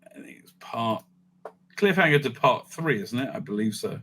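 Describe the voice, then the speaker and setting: A man giving casual, rambling fan commentary as he ranks things, excitable and sweary, sometimes muttering to himself. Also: medium pitch, moderate pace, noisy background. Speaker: host of a fan ranking show.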